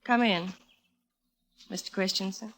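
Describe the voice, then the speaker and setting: A woman playing a guarded wife in a radio drama, long-suffering and restrained. The voice is mid-pitched, with a hesitant, careful delivery. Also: steady tone, a little slow, close to an everyday voice. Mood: wary, hesitant.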